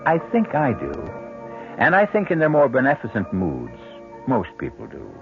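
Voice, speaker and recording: An actor as the ominous, literate host of a radio mystery, narrating in a formal, deliberate, rhetorical manner. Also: male voice, medium pitch, huge pitch swings, narrow, tinny audio. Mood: grave, musing. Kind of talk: 1970s radio drama narration. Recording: thin 1970s radio recording over music.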